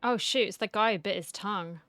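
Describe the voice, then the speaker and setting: A woman's voice: mid-range voice, fairly quick, fairly bright tone, a little hiss or hum. Casual woman reacting to a game. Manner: offhand, informal. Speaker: gaming streamer.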